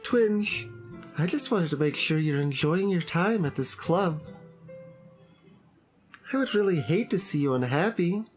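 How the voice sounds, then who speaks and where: A woman playing a sweet, earnest high school club president in a visual novel, speaking in a gentle, hesitant, confiding way. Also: expressive, measured pace, pauses often. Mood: gentle concern. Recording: music behind the voice. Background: background game music.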